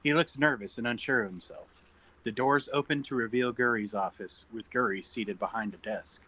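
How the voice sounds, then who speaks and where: Male narrator for a table read: forceful bright voice, reads scene headings and action plainly. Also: medium pitch, normal speed, low-quality recording. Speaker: narrator reading stage directions.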